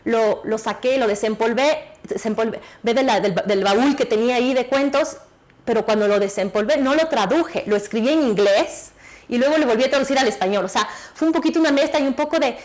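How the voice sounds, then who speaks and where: Lively woman, quick restarts. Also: on the high side. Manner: animated, talks quickly with many restarts. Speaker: children's author guest. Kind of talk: TV interview, casual chat.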